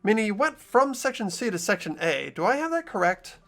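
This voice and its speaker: An earnest young detective, acted by a performer in a fan-voiced game drama. The voice is mid-pitched and very lively, rising and falling as he presses for the truth. Light music plays behind. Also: a little fast. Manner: earnest, inquisitive.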